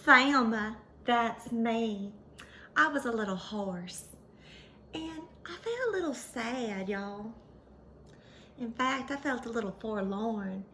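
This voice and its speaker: Woman playing a high-pitched, excitable dreamy pony. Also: slow pace, a little hiss or hum, heavily acted. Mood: wistful, forlorn. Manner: gushing, dramatic, folksy, addresses listeners as y'all. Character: excitable, vain, dreamy female pony who longs to be a unicorn. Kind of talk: animated first-person storytelling for children.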